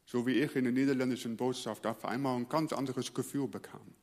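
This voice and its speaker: A male pastor from the Netherlands, a native Dutch speaker, preaching earnestly and letting his phrase endings fall quiet. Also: mid-range voice, fairly even intonation, fairly quick, big-room sound. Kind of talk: sermon.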